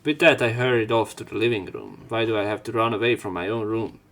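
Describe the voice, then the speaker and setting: A young man narrating a visual novel let's play, reading the story plainly and getting louder when voicing the hero. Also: on the low side, fast, a little hiss or hum. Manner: reads narration plainly, jumps up louder for the hero's lines.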